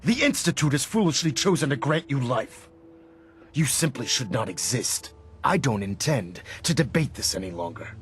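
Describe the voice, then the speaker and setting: A man's voice: medium pitch, big pitch swings, moderate pace, slight background noise, natural voice. Man acting a zealous commander with sweeping intonation. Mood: scornful, unyielding. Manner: oratorical, contemptuous, emphatic. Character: zealous military commander, stern, unforgiving and grandiose. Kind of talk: dramatic video game acting. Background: cinematic music.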